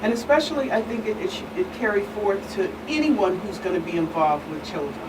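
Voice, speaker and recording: A woman speaking as an expert guest on a panel, deliberate and emphatic, repeating phrases for stress. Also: mid-range voice. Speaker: guest expert, attorney and pastor. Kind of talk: panel discussion, earnest explanation. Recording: distant mic, clearly noisy room hum.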